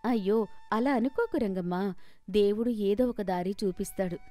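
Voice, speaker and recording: A woman's voice: medium pitch, normal speed, warm tone, dry recording, faint music behind, close to an everyday voice. Woman acting a chatty village neighbour woman. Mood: sympathetic. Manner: exclaiming, nosy, encouraging.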